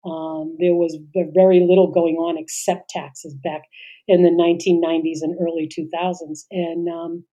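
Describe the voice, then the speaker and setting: A middle-aged woman with a mellow, fairly low voice co-hosts a podcast. She talks casually and haltingly, trails off, and fills gaps with "uh" and "you know". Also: little pitch movement, dull audio. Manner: hesitant, trailing off, many fillers. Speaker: podcast co-host.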